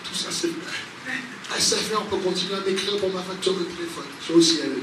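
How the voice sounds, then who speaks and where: High-voiced male preacher in a roomy space. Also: a little fast. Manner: emphatic, repeats phrases, exhorting. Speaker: pastor preaching and praying over a congregation. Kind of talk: spontaneous charismatic sermon with prayer. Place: large echoey room, church service. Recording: roomy, distant mic, noisy background.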